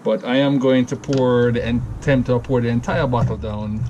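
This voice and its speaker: A man with a Jamaican accent walks through a homemade recipe in a loose, rambling way, often stopping to correct himself. His voice sits at an ordinary middle pitch. A steady wash of background noise sits under the home recording. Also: normal speed, highs cut off. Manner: rambling, self-correcting, easygoing. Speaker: home cocktail vlogger. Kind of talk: casual step-by-step recipe demo.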